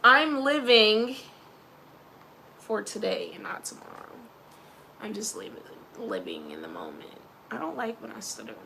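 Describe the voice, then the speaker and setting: A woman's voice: mid-range voice, big pitch swings, unhurried, creaky, noisy background. A young woman from Philadelphia with a Philly accent, talking casually and rambling in a personal vlog, often laughing and mentioning her faith. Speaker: vlogger.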